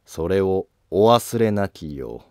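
Scheming court noble man acting, composed and polite, lowish pitch, unhurried, for historical drama. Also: lively intonation, darkish tone, smooth, dry recording, studio quality. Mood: courteous, humble. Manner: polite, measured, self-assured.

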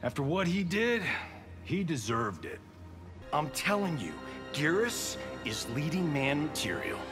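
An actor playing a flippant, boastful American Hollywood action star trading slangy banter in a fighting video game. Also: male voice, a little high, sing-song, measured pace. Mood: cocky, joking. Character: cocky wisecracking male Hollywood action movie star. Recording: game music behind.

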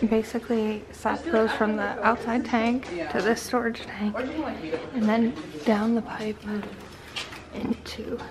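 A woman in her twenties giving casual, enthusiastic travel vlog narration full of exclamations. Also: fairly high pitch. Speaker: vlogger. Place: farm sugarhouse and outdoors. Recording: noisy on-location camera audio.

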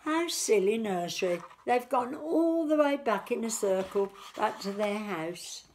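A woman in her fifties reads a picture book for nursery children. Her voice sits a little high and she takes her time over each phrase. She explains things simply, as a teacher of small children would. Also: animated, unhurried, a little mellow, a little hiss or hum. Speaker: nursery teacher reading a story. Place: small living room, indoors.

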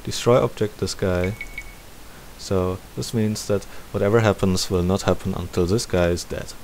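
Low-voiced man giving a game tutorial. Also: normal speed, low-quality recording. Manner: thinking aloud step by step. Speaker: tutorial presenter. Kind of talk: casual screen-recorded game tutorial. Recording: steady hiss behind the voice.

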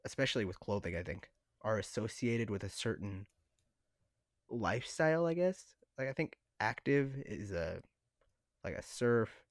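A man with a fairly low voice speaks as a podcast co-host in a very dry recording. He talks casually and tentatively, working out his thoughts as he says them. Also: expressive, moderate pace, dry recording. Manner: tentative, thinking aloud. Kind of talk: casual discussion.

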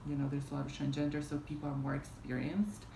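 Low, flat-voiced woman rambling in roomy room. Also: quick pace, creaky. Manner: rambling, many fillers and restarts. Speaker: vlogger. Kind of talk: casual storytime vlog. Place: small room at home, indoors, fan running. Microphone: camera in front at arm's length. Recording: roomy with fan noise behind. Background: fan hum.

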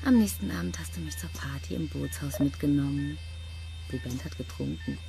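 A woman's voice: deep voice, moderate pace, creaky. An actress in a radio crime drama playing a defiant, possessive pregnant girlfriend of a rock singer, intense and emotional. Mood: intimate, obsessive. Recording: music behind the voice.

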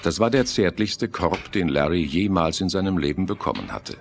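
Low-pitched male radio play narrator. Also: animated, normal speed, creaky, slight background noise.

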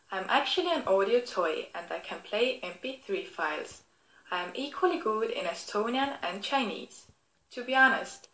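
A woman's voice: mid-range voice, fairly bright tone, reverberant, lightly acted, rough recording. A woman voicing a friendly, clever fairy tale teddy bear toy, presenting itself to children in an encouraging, instructive way. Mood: cheerful, introducing, then proud, helpful. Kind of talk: scripted product demonstration for children.

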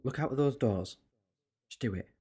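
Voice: mid-range voice, normal speed, dark tone, husky, lightly acted. An actor playing an eccentric alien time traveller in an audio drama, wry and quibbling but earnest and persuasive. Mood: urgent, persuasive. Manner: wry, quibbling, turning solemn.

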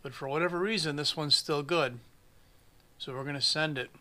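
A man's voice: a little high, normal speed, bright, forceful tone. A man in his forties narrating a chainsaw repair at his workshop bench, plain-spoken with dry humor. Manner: plain-spoken, dry humor, thinks aloud while working. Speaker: small engine repair shop mechanic.

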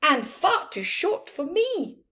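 A woman's voice: very high-pitched, sing-song, takes it slowly, sharp tone, voice pushed up, full character voice. Lady doing a nervous boy camel from a kids story, stammery and reluctant, bit shy. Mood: matter-of-fact. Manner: hesitant, reluctant, stammering excuses. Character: shy, anxious young male camel hiding a secret.

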